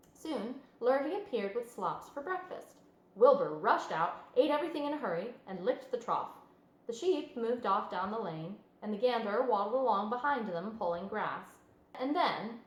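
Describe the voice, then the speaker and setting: Female read-aloud narrator for children's fiction. Expressive, varied intonation, slightly high voice; echoey room. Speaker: storybook reader.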